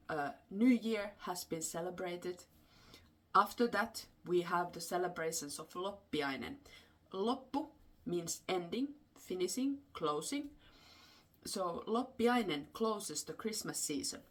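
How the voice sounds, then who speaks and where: A woman with a Finnish accent telling folklore in an unscripted, explanatory way, with halting phrases and frequent pauses. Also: on the low side, lively intonation. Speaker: storyteller and host.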